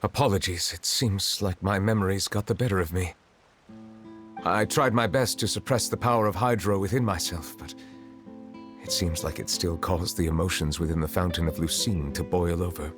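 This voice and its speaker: A man voicing a dignified, reserved chief justice in a video game, formal and composed, with measured wording. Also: low-pitched, close to an everyday voice. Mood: apologetic, composed. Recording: music behind the voice.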